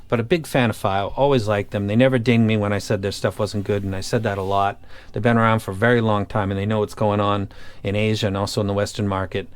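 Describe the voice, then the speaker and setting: A man's voice: on the low side, clear, resonant tone. Blunt man giving casual gear reviews. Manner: blunt, opinionated, colloquial. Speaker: YouTube audio gear reviewer. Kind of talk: casual unscripted review talk.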